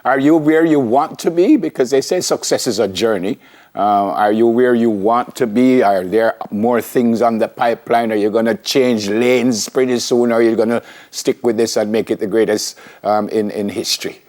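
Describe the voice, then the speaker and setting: A man's voice: mid-range voice, moderate pace, darkish tone. A man with a Jamaican accent hosting a morning TV show, asking questions in a chatty, jovial way. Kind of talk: TV interview.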